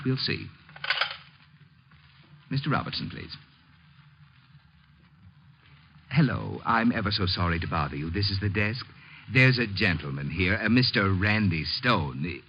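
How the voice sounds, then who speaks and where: An actor playing a fussy, officious hotel desk clerk in a 1950s radio drama, prim, guarded and condescending in a mock-polite way. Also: male voice, low-pitched, very animated, unhurried, long pauses, full character voice. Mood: obliging, prim. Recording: muffled old 1950s radio broadcast, faint noise.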